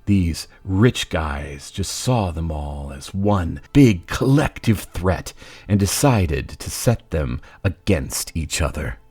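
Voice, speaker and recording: A man's voice: low voice, lively intonation, takes it slowly, a little mellow, slightly rough, no echo, full character voice. A man voicing a gloating, menacing, talkative supervillain in a comic book dub, with a taunting, condescending drawl. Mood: sly, expository. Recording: faint music behind.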